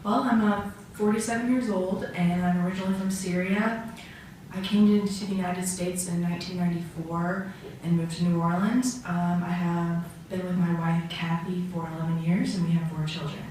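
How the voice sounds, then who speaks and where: A woman playing a humble 47-year-old immigrant man giving halting testimony on the witness stand in an amateur mock trial. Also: lowish voice, flat delivery, a little mellow, close to an everyday voice. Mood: earnest, hesitant. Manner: halting, hesitant, frequent ums and pauses. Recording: echoey room, far from mic, faint noise.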